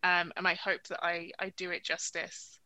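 Woman interviewing on a podcast, bright sharp voice, earnest, stumbles and repeats words a lot. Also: lowish voice, level tone, moderate pace, dry recording, rough recording.

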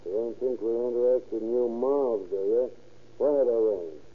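An actor playing a menacing, sneering hoodlum boss in a 1930s radio crime drama, talking in bullying slang. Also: male voice, medium pitch, moderate pace, close to an everyday voice. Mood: threatening. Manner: slangy tough talk, bullying. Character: male gang leader, tough sneering menacing 1930s hoodlum. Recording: old narrow-band radio recording.

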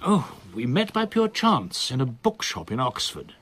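An actor playing a reserved solicitor in his sixties in a radio drama, with a measured, formal, precise manner. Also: mid-range voice, wildly swooping pitch, a bit bright, full character voice. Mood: quietly confiding. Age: 60s. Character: reserved older male solicitor, in his sixties, quietly confiding.

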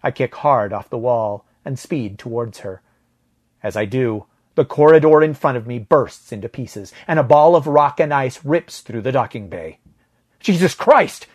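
A man's voice: medium pitch, normal speed, a little mellow. A man narrating first-person science fiction fiction from a script as a storyteller.

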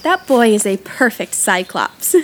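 A woman playing a proud, excitable schoolgirl in an audio play, speaking with dramatic, gushing primness. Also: on the high side, a little slow, rough recording. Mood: haughty, indignant. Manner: affected big words, gushing.

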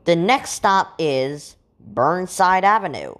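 Slow, melodic woman making train announcements. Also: on the low side, very animated, a bit bright. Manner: formal, measured, list items set apart by pauses. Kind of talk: scripted transit announcements.

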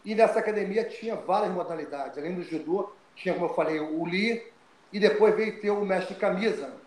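An older man from Brazil, telling stories of his start in fighting as an interview guest, animated and often restarting his words. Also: sits high, a little fast, roomy sound, rough recording. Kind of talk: storytelling interview.

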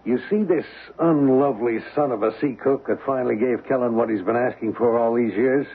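An actor playing a cynical American embassy official in an old-time radio drama, gravelly and husky, with a wry, clipped, commanding manner. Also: male voice, mid-range voice, brisk. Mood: sardonic. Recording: tinny, band-limited old radio broadcast.

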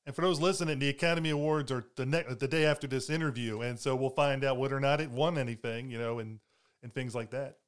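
A man's voice: medium pitch, steady tone, fast talker, smooth. A man hosting an interview in casual chat, rambling and often restarting his words. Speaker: interviewer host.

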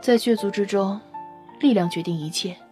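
A woman's voice: medium pitch, normal speed, warm tone, soft background music, lightly acted. A woman playing a brash, fiery, outspoken female vampire scientist in an audio drama, with an emphatic, informal manner. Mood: explaining. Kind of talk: Chinese audio drama acting.

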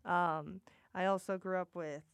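A young woman from Puerto Rico with a deep alto voice, hosting a casual podcast chat and rambling eagerly with lots of "like" fillers. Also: fairly even intonation, unhurried, creaky, clear, resonant tone, dry recording. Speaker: podcast host.

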